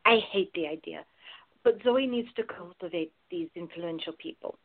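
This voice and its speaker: A woman's voice: lowish voice, moderate pace. An actress playing a wry, protective woman with a blunt, teasing manner in radio play dialogue. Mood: concerned. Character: wry, protective woman, devoted to her girlfriend. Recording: narrow phone-like sound.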